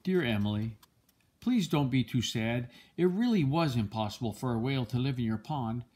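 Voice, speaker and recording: An older man with a husky voice reads a children's picture book aloud in a gentle, measured storytelling manner. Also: medium pitch, lively intonation, a little hiss or hum. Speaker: storybook reader, a grandfather.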